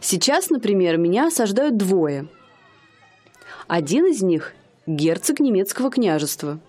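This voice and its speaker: An actress playing a haughty young woman posing as a high-society lady, speaking in an affected, aloof manner in a radio play. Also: sing-song, a little slow, mellow.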